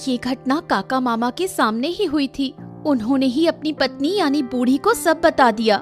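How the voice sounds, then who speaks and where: A woman's voice: high-pitched, expressive, normal speed, faint music behind. A woman voicing a firm, earnest, plaintive young village woman in a cartoon story. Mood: worried.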